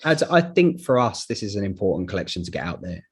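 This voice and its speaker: A man presenting in a casual, enthusiastic product chat, with a slightly husky voice. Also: fairly low pitch, big pitch swings, fast talker. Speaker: card company presenter.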